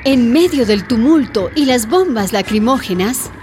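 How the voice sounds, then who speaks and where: A woman narrating a radio drama in a measured, dramatic storytelling manner. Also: fairly high pitch, expressive. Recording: narration over music and crowd noise.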